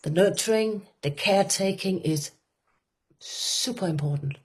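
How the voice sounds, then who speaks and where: A woman with a Danish accent speaking earnestly and with passion, pausing often with fillers and restarting her sentences. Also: medium pitch, breathy edge. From Denmark. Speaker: women's work facilitator. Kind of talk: reflective conversation.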